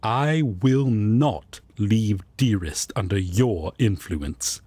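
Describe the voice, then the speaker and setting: A man acts out a devoted, prim angel roommate in an audio drama. His husky, lowish voice is formal and polite, measured and slow, turning haughty and indignant easily with a rival. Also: expressive, mellow, dry recording, exaggerated voice. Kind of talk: audio roleplay acting.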